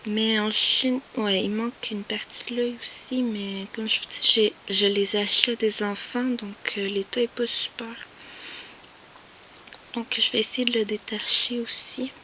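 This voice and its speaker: A woman with a Québécois French accent, whispering softly as she shows off her collection, with many hesitant fillers. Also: fairly high pitch, steady tone, normal speed, a little hiss or hum, rough recording. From Quebec. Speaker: collector showing finds. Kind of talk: soft whispered show-and-tell.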